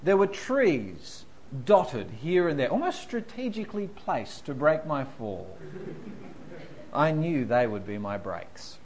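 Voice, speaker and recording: A pastor preaches with his voice leaping up and down in pitch, which sits a little high for a man. He tells his own blunders with a laugh before turning to weightier points. Faint background hiss sits under the talk. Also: takes it slowly. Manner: self-deprecating storyteller, animated, laughing through anecdotes. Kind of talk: sermon with humorous stories.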